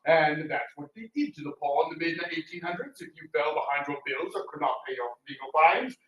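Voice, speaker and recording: A man's voice: a little high, animated, a little fast, fairly bright tone, highs cut off. A man who works as an actor, co-presenting a scripted talk in a dramatic, declamatory manner. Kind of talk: theatrical scripted lecture. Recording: roomy, distant mic, faint noise.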